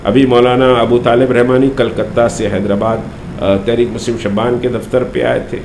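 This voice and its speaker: A man gives an unscripted political statement to the press, speaking deliberately and haltingly with frequent pauses. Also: medium pitch, flat delivery. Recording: roomy echo, faint background noise.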